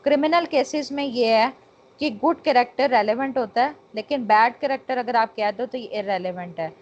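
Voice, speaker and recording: A woman's voice: a little high, moderate pace, slight background noise. A woman with a Pakistani accent giving an instructional law lecture point by point, mixing in English technical terms. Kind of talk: exam-prep lecture, explaining point by point. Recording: dull, lo-fi lecture recording.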